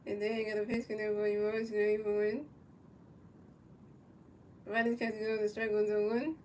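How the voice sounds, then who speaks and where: A woman calls a baseball video game in an extremely flat, unchanging tone, repeating set phrases and restarting lines as she goes. She sits some way from the microphone, and a faint hiss runs underneath. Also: mid-range voice, moderate pace. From United States. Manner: repetitive, stumbling calls, talks to chat viewers. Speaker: video game play-by-play commentator. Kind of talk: casual play-by-play commentary of a baseball video game. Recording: distant mic with faint background noise.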